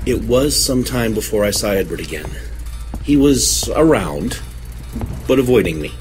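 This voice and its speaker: A man playing a haunted, earnest witness in an audio drama, speaking in a measured, literary, anguished way. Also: fairly low pitch, expressive, faint music behind, close to an everyday voice, low-quality recording. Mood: grave, reflective. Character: haunted, earnest man recounting his friend's horror, pleading his sanity.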